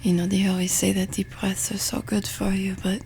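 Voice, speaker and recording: A woman playing a pensive French roommate in an intimate ASMR ramble, with a French accent and a soft, breathy, hesitant delivery. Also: mid-range voice, monotone, a little fast, a little hiss or hum, close to an everyday voice. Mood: musing, gentle. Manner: hesitant, musing, self-deprecating. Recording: music behind the voice. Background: music and summer ambience.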